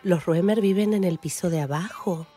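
A woman's voice: fairly low pitch, moderate pace, dark tone, slightly rough, faint music behind. A woman with an Argentine accent playing a coy, flirtatious, enticing neighbour in a radio play. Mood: coy, inviting.

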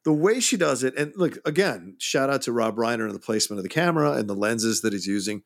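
A man co-hosting a film podcast, talking in an animated, emphatic way with quick agreeing interjections. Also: mid-range voice. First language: English, grew up with Spanish too. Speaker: podcast co-host. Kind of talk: casual film discussion.

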